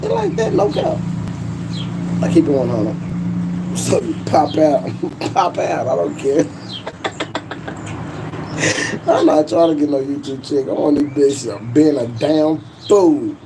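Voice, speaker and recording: Loud-mouthed man ranting on a noisy livestream. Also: fairly high pitch, big pitch swings, unhurried, creaky, long pauses. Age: 40s. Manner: boastful, profane, laughing often. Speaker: YouTube livestream host. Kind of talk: casual livestream rant.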